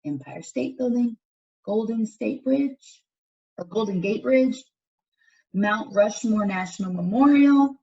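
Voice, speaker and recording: A woman teacher reading educational text aloud for children with deliberate, instructional phrasing. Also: fairly high pitch, vocal fry, mellow. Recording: dull, distant microphone pickup.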